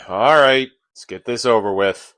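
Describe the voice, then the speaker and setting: An actor in a comic radio play playing a reluctant young sidekick who complains in a whiny, sarcastic, exasperated way. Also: male voice, mid-range voice, takes it slowly, a bit bright, no echo.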